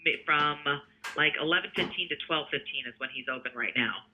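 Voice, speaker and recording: A man giving a conversational sales pitch over the telephone as a sales representative, explaining things step by step. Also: a little fast, bright, forceful tone, a little hiss or hum. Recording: tinny narrowband phone line.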